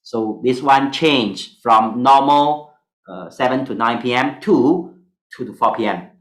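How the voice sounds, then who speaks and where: A Singaporean man whose first language is Mandarin, leading a stock class in slow, hesitant English. He fills pauses with "uh" and fragments, at a middle pitch, and the sound is echoey. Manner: halting, many fillers, broken English. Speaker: stock analysis teacher. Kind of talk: online class lecture.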